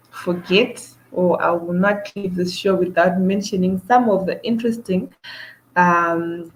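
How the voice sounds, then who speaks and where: A woman from Zimbabwe hosts her own show in a casual monologue. Her voice sits on the low side and she talks slowly. She pauses on "um" and restarts her sentences again and again. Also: slight background noise, low-quality recording. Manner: hesitant, many "um" fillers and restarts. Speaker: show host.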